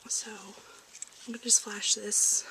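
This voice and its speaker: Slow, soft-spoken ASMR woman as doctor. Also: mid-range voice, level tone, poor audio. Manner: gentle, reassuring, hesitant. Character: calm corporate research doctor giving a checkup. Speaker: ASMR roleplayer playing a lab doctor. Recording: faint background noise, home ASMR recording.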